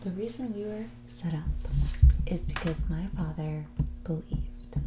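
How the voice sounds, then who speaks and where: A woman playing a snobby, dismissive rich young woman in a whispered and soft-spoken ASMR roleplay, condescending and ditzy, saying "like" often. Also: fairly low pitch, measured pace, noisy background, natural voice. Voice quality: breathy whisper mixed with creaky soft speech. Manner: ditzy, condescending, hesitant delivery full of "like".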